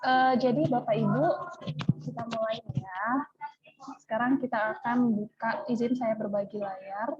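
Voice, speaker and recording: A woman's voice: on the high side, unhurried, a little mellow. A woman with an Indonesian accent teaching an informal online tutorial over a video call, often checking in with her listeners. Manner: repeatedly checks with listeners using "ya". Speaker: online workshop trainer. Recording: dull lo-fi video-call audio.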